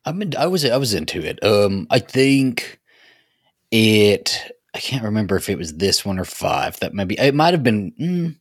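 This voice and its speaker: A man's voice: on the low side, very animated, normal speed, slightly rough, no room sound, studio quality. A man co-hosting a casual podcast, tentative and hesitant as he thinks aloud. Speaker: podcast co-host. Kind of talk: casual review chat.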